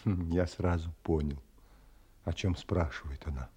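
Взволнованного влюблённого, хрипловатый низкий голос. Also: male voice, deep voice, fairly even intonation, unhurried, slightly rough. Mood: troubled, foreboding. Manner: emotional, pleading, hushed at times. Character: romantic, anguished man in love, tender and troubled. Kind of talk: audio drama acting and first-person narration. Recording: music under the voice.

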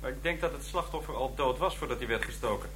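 A man's voice: medium pitch, quick pace, fairly bright tone, slight background noise, lightly acted. A man playing an unflappable police doctor in a Dutch radio crime drama, talking in a dry, clinical manner.

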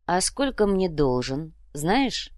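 A wry, canny dealer in stolen goods read by a woman in a storybook style. He needles dryly and keeps an eye on the money, with lively intonation and a close, dry sound. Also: lowish voice, brisk, dry recording. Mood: dry needling. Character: shrewd, wry male trader and fence, money-minded. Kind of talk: audiobook character voice.

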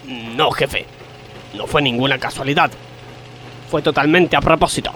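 An actor playing a cheeky male factory worker in a radio comedy, deadpan, with an Argentine accent. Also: mid-range voice, moderate pace, a little hiss or hum, close to an everyday voice. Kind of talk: comedic radio theatre acting.

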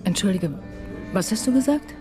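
A woman's voice: medium pitch, lively intonation, moderate pace, over loud music, close to an everyday voice. An actress playing a withdrawn, defensive grieving mother in a radio drama, speaking in a curt manner.

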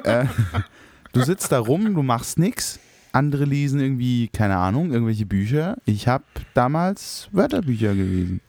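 Laid-back male co-host, mid-pitch voice, playful banter, dry close sound. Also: sing-song, normal speed, vocal fry, darkish tone, no echo. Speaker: podcast co-host. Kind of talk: casual chat.

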